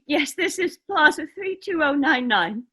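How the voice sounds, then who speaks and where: A very high, piercing voice for a hysterical, demanding wife left alone at night. She stammers and pleads melodramatically, drawing her words out slowly, in 1940s suspense radio style. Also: lively intonation, bright, forceful tone, heavily acted. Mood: shaken.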